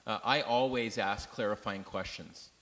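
Loud, bright-voiced man leading a class. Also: lowish voice, moderate pace, big-room sound. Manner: conversational, loose, many fillers, questions to the room. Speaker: class leader. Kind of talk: teaching a church class.

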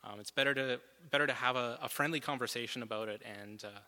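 A man's voice: medium pitch, quick pace, big-room sound. Methodical man explaining from notes. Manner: steady and methodical, some fillers. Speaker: guest presenter.

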